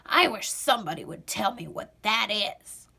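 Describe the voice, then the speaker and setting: A woman's voice: medium pitch, animated, normal speed, creaky, bright, forceful tone, husky, exaggerated voice. Woman voicing a bold gingerbread pirate captain. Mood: suspicious. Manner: commanding, wary.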